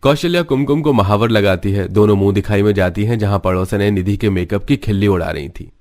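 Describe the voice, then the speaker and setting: Low-pitched man narrating a cartoon story. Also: fairly quick, darkish tone.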